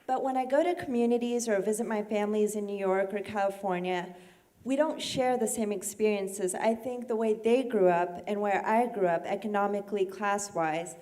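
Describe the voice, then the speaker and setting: A young woman from Iran asking a panel a question, talking quickly with frequent ums. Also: mid-range voice, mellow. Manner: rapid, with frequent um fillers. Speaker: audience member asking a question. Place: auditorium.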